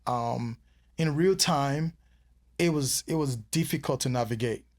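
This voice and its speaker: A man's voice: medium pitch, unhurried. A man from Nigeria, a guest in a casual interview, speaking reflectively with frequent ums. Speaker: podcast guest.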